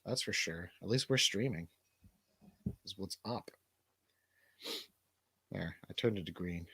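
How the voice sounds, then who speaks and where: A man's voice: low-pitched, measured pace, vocal fry, a bit bright, slightly rough, no echo. A man co-hosting a livestream in casual banter, with a rambling, slangy, jokey way of talking. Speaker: livestream co-host.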